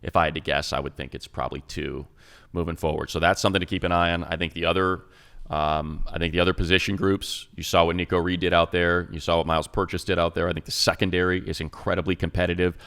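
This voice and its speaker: Man, low gravelly voice, loose casual football analysis, lots of restarts. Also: little pitch movement, fast talker, fairly bright tone. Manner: rambling analysis with many restarts. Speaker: radio play-by-play announcer and co-host. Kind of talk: casual sports talk.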